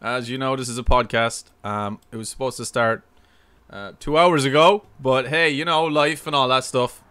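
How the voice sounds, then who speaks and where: A man's voice: medium pitch, fairly even intonation, normal speed, fairly bright tone, clean tone. An upbeat man hosts a casual live stream with an everyday mid-range voice. He talks loosely and reads out the names of viewers dropping into the chat. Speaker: podcast host.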